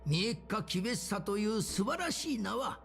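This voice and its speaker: Stern elderly grandfather general, anime game. Formal, high-set, gravelly, music underneath. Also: very animated, rapid-fire, exaggerated voice. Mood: solemn. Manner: formal, dignified speech-making.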